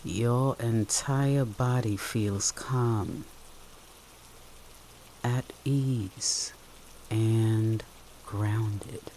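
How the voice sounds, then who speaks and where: Medium-pitched voice, very slow guided meditation, gentle, soothing, long pauses, steady rain behind. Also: slight background noise. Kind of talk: guided meditation, soft instructional narration.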